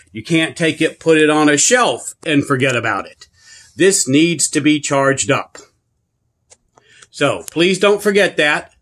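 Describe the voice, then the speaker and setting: A man's voice: mid-range voice, a bit bright. A man giving a casual product review and demonstration in a plain-spoken, earnest way, repeating himself for emphasis. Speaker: product reviewer and channel host. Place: carpeted room indoors.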